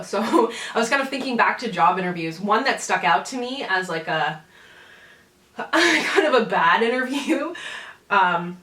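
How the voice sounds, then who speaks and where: A woman's voice: fairly low pitch, sharp tone. A young woman from Newfoundland, Canada, chatting casually and self-deprecatingly as she hosts a get-ready-with-me beauty vlog. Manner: informal, self-deprecating, giggly asides. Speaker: beauty vlogger, host. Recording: roomy echo, distant mic.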